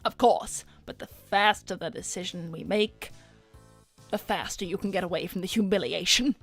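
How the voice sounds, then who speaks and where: A woman's voice: medium pitch, moderate pace, fairly bright tone, breathy edge, soft background music. A woman voicing a seductive, manipulative talent-scout villainess, theatrical, coaxing and condescending, in an improvised cartoon roleplay. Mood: smug, silky. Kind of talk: improvised in-character livestream roleplay.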